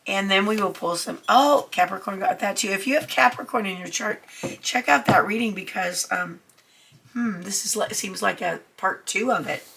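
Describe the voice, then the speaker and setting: Raspy-voiced woman doing a tarot reading. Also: medium pitch, moderate pace, fairly bright tone. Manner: chatty, reassuring, many ums. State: getting over a cold. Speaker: tarot reader. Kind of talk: casual tarot reading to camera.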